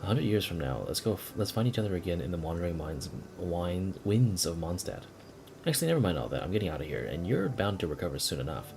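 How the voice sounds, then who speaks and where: A man voicing a cheerful, bossy old man's letter in a video game, in a brisk and breezy manner. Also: low voice, big pitch swings, creaky, background noise, heavily acted. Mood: breezy, pleased.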